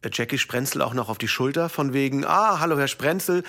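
A male journalist with a creaky, mid-pitched voice in a radio comedy. He sounds self-important and evasive, justifying himself in a hesitant way. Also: a little fast, bright, forceful tone. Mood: indignant. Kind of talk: radio comedy, mock interview.

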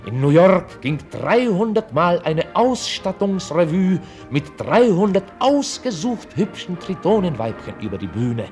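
An actor playing a dry, ironic narrator, reading scripted radio play narration like a documentary report. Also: male voice, sits high, big pitch swings, a little slow, few pauses, a little mellow, faint music behind. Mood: dry, reportorial.

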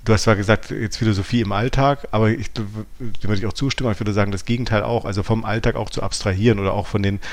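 A man's voice: on the low side, steady tone, fast talker, few pauses. A man co-hosting a podcast, talking analytically with hesitant starts and frequent rephrasing. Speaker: podcast co-host.

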